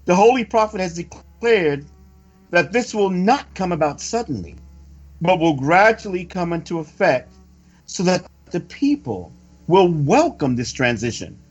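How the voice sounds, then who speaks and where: A man reading a scripted sermon-like report in a solemn, formal, measured oratorical manner. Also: high voice, very animated. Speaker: religious reader delivering a prophecy report. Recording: dull lo-fi recording with music behind. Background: music.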